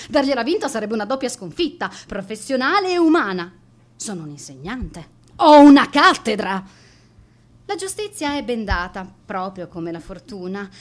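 A woman's voice: medium pitch, close to an everyday voice. An actress in a radio monologue playing a strict, spiteful schoolteacher, sarcastic and given to answering her own questions. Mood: scheming. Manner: sarcastic, asking and answering herself. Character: bitter, spiteful, strict female schoolteacher, obsessed and vindictive. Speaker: radio drama actor.

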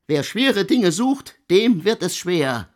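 Ein Mann spielt einen gequälten Komponisten, der in einem Hörspiel in altertümlichem Deutsch feierlich und stockend seine Sünden beichtet, mit heiserer Stimme. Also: male voice, high voice, sing-song, heavily acted. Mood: anguished. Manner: solemn, archaic, halting confession. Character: tormented composer confessing his sins in archaic German. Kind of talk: radio play monologue.

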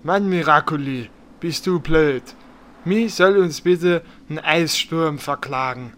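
A man's voice: a little high, slow pace, a bit bright. A man playing a cocky, condescending simpleton shaman in a German parody audio play.

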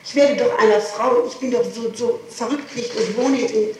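A woman's voice: fairly high pitch, steady tone, moderate pace, a little mellow. Woman protesting on a very echoey old tape. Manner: emphatic, repetitive protesting. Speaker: suspect under interrogation. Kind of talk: answering police questioning. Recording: noisy, echoey, distant 1960s tape recording.

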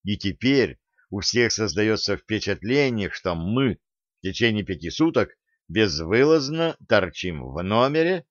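Low-voiced man reading a novel, dry muffled sound. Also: big pitch swings, measured pace, no room sound. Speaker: audiobook narrator. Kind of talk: scripted narration of a novel, first person.

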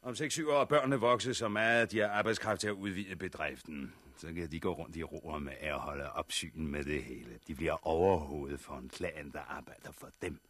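A cold, authoritarian official in an old radio play. The voice is low and forceful, recorded dry and close, with measured bureaucratic phrasing that lays down the rules matter-of-factly. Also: male voice, dry recording. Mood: coolly businesslike. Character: cold, authoritarian male official of a militarised regime. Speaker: radio actor. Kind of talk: 1960s Danish radio drama acting.

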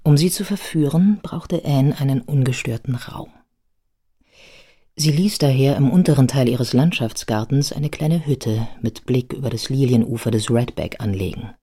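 A man narrating a documentary radio drama from a script in a measured, literary storytelling manner. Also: mid-range voice, dark tone, breathy edge.

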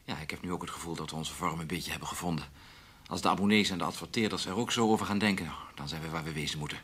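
A man playing a cautious, self-doubting, bookish chief editor in a Dutch radio play, husky-voiced, with a hesitant, mild manner. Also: low voice, very fast, fairly bright tone. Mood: cautious, reflective.

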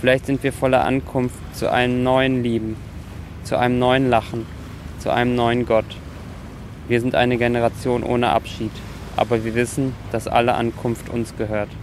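A man's voice: mid-range voice, level tone, normal speed, a little hiss or hum. A man speaking in an earnest, reflective and literary way. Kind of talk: reflective account and solemn literary reading.

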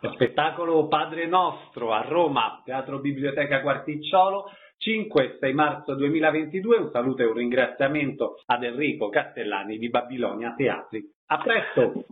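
A man's voice: mid-range voice, moderate pace, hardly any pauses. A man hosting a radio interview, asking questions and reading out announcements. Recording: phone-like narrow band radio sound.

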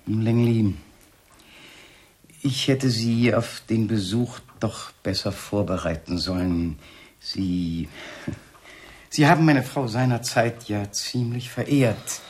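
An actor playing a suavely sinister husband in a radio drama, with mannered courtesy and a falsely tender, condescending tone. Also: male voice, fairly low pitch, animated, slow, a little mellow. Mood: suave, mocking. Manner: mannered courtesy, cooing endearments. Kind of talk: 1970s German radio drama acting. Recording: 1970s radio recording with faint noise.

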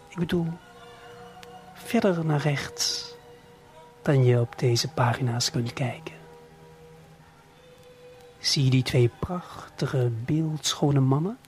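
A man guides the listener through an audio play at a very slow pace. His delivery is intimate, casual and gently coaxing, like conversation. Faint music plays behind him. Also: medium pitch. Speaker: narrator and guide of an audio magazine.

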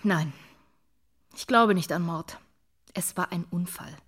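A woman's voice: fairly low pitch, slow, fairly bright tone, slightly rough, heavily acted. An actress playing a cool, irritated, sarcastic woman in a radio drama. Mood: dismissive, biting. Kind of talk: German radio drama acting.

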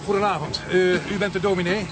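An actor playing a brisk, matter-of-fact police inspector who narrates his own case in a radio drama. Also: sits high, steady tone, heavy background noise, lightly acted. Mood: businesslike questioning.

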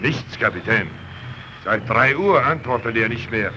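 A man's voice: mid-range voice, expressive, a little slow, natural voice. Actor as earnest radioman in heavy noise. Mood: urgent. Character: earnest, loyal male icebreaker radio operator. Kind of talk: vintage German radio drama acting. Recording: muffled, very noisy old radio recording.

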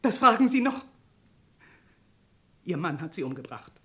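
A woman's voice: fairly low pitch, sing-song, a little slow, heavy background noise. A woman playing a bitter, unyielding grieving mother in a German crime radio play, scornful and vehement. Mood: vehement accusing.

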